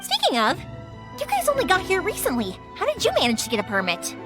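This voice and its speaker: A bright, high and very lively young fireworks maker. She is upbeat, chatty and caring, and game music plays behind her. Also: quick pace, full character voice. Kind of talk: video game voice acting. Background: game background music.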